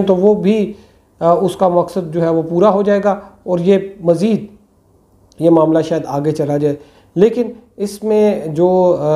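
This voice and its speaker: A man, giving political commentary to camera in a small room on a lapel mic, measured and full of hesitations. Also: a little high, dark tone. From Pakistan. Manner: measured, many hesitations and fillers. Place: small indoor room with plain walls.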